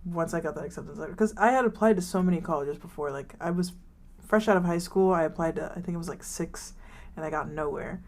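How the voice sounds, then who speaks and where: A young woman in her twenties talks casually as a podcast co-host, rambling through her stories with plenty of filler words. Her voice sits a little low for a woman. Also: fast. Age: 20s. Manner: rambling, many likes and ums, animated retellings. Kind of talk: casual chat.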